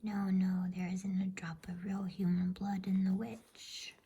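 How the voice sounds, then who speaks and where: A woman voicing an earnest, folksy male talking beaver in a breathy whisper, declaiming in measured phrases for a bedtime storybook. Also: mid-range voice, little pitch movement, mellow, voice pushed up.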